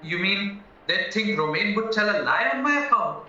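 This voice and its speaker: A man's voice: high-pitched, animated, normal speed, bright, forceful tone, heavily acted. A man acting in an audio play as a naive, anxious young husband accused of murder, earnest and rambling as he protests. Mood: anxious, pleading. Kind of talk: stage-style audio drama acting. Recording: very echoey, muffled, distant, music underneath.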